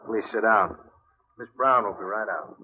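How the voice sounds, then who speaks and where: Male henchman for radio noir, acted: fairly low, controlled and terse, on a muffled recording with faint noise. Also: normal speed, natural voice. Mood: formal, polite. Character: gangster's armed henchman, posing as a polite butler. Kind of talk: 1950s radio crime drama acting. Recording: dull, noisy old radio recording.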